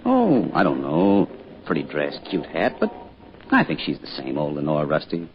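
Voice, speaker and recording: An actor playing a middle-aged American small-town father in an old-time radio drama, gruff but kindly, with a dry, folksy manner. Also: very deep voice, huge pitch swings, normal speed, heavily acted. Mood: wry, reminiscing. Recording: dull, hissy old radio recording.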